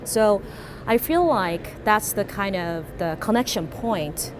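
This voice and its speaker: Expressive woman designer from South Korea. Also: medium pitch, slow. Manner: reflective, expressive. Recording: noisy background ambience.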